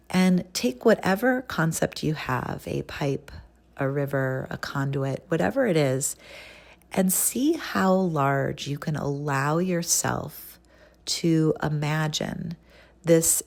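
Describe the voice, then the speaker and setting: A woman leading a guided breathing visualization in a gentle, unhurried, instructional manner with long pauses. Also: sits low, gravelly. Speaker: guided meditation leader.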